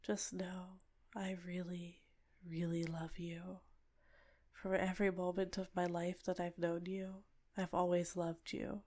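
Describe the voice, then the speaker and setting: A woman playing a doting, possessive fox-spirit wife in an ASMR roleplay, speaking in soft, breathy, soothing murmurs and whispers. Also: lowish voice, little pitch movement, unhurried. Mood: tender, doting. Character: doting, possessive female kitsune wife, tender, lovestruck.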